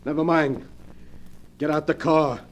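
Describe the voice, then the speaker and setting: An actor playing a desperate, tormented gangster boss in a melodramatic old-time radio drama. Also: male voice, on the high side, steady tone, unhurried, lightly acted. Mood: grim, menacing. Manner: melodramatic, anguished.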